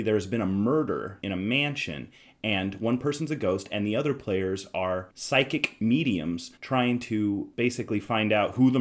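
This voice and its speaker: A man with a fairly low voice hosts a casual podcast discussion. He explains things in a conversational way, as if walking friends through a board game. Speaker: podcast host.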